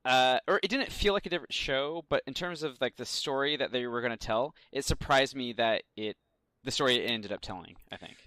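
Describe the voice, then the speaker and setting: A man's voice: medium pitch, fairly quick, pushed and bright, smooth, dry recording. A man co-hosting a podcast, chatting casually and conversationally. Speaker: podcast host. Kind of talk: casual chat.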